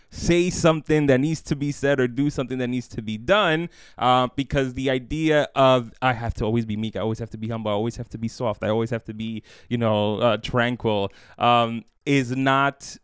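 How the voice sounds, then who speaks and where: Podcast co-host, male, deep smooth voice, chatty storyteller, dry close mic. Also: normal speed, hardly any pauses, no room sound. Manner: storytelling, animated, lots of fillers. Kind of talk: casual faith podcast chat.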